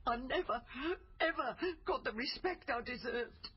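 A woman's voice: a little high, expressive, measured pace, slightly rough, highs cut off, exaggerated voice. An actress playing a bitter, harassed middle-aged mother, aggrieved, in a naturalistic radio drama. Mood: bitter, upset. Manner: aggrieved, exasperated. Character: bitter, harassed mother, a faded female singer.

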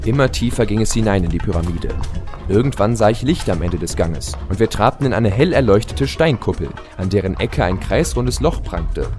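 A man's voice: lowish voice, natural voice. A man playing an eager adventurous pony hero, expressively narrating his tale in an audio drama. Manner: expressive, storytelling.

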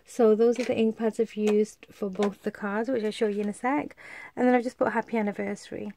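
A woman's voice: mid-range voice, steady tone, fairly quick, dark tone, slight background noise. A woman from the UK, a craft vlogger with a stuffy cold, showing her cards in a rambling, chatty way with lots of fillers. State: congested, coming down with a cold. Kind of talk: casual show-and-tell.

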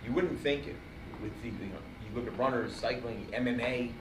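A man co-hosting a relaxed studio chat, jumping in eagerly with his own points. The sound is roomy and distant, with a noisy floor. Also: medium pitch, a little fast. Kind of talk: casual podcast interview.

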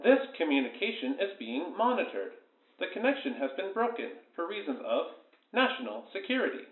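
An actor playing an impersonal, clipped government announcer in a radio drama. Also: male voice, sits high, big pitch swings, a little fast, vocal fry, phone-quality sound, exaggerated voice. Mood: cold. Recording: echoey, faint noise.

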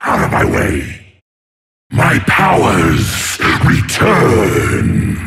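A gravelly sea monster tyrant from a video game, imperious and gloating. He draws his threats out very slowly and theatrically, with huge swings in pitch. There is some noise in the recording. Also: full character voice. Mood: wrathful, threatening. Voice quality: gravelly, growling. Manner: slow, theatrical, menacing, gloating. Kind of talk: video game character voice lines.

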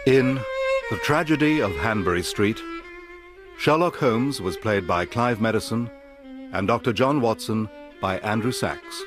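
A man reading scripted closing credits as a formal, measured radio announcer. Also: fairly low pitch, animated. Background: solo violin music.

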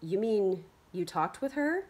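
A woman voicing a gentle, loyal teenage girl who speaks softly with mild worry, read from a novel. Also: medium pitch, very animated, measured pace, breathy edge.

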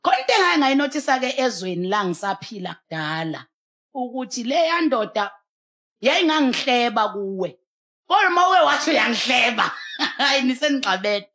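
A woman's voice: on the high side, sing-song, normal speed, bright, forceful tone. A South African woman speaking as a pastor on a studio talk show, emphatic and exhorting, mixing in English. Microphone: headset.

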